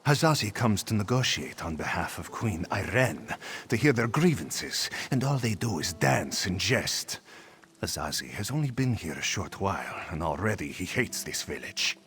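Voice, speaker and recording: An actor playing a put-upon, exasperated cat-folk envoy in a video game, who speaks of himself in the third person. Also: male voice, deep voice, sing-song, normal speed, fairly bright tone. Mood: exasperated, grumbling. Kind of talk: video game character acting. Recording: music behind the voice.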